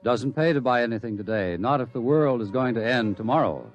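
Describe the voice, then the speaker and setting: A grave, dramatic radio narrator, voiced by a man, with sweeping pitch and measured phrasing. The sound is dull and lo-fi, with faint music behind. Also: lively intonation, natural voice. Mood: portentous. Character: grave male narrator of impending doom.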